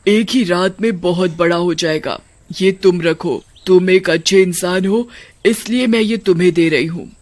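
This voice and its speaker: Man voicing a high-pitched gentle witch ghost. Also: measured pace. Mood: kind, reassuring. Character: kind female witch ghost, grateful then helpless mother. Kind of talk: animated story voice acting.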